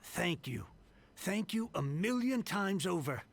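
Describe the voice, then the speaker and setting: An actor playing a nervous, downtrodden trader in a game. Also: male voice, sits high, big pitch swings, slow, gravelly, heavily acted. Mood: anxious. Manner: anxious, disbelieving. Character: nervous, downtrodden middle-aged male trader.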